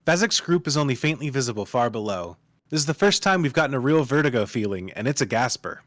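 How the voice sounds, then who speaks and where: A man's voice: mid-range voice, expressive, fast, a bit bright, no room sound, clean studio sound. A man reading stage directions as dramatic scripted narration at a live table read.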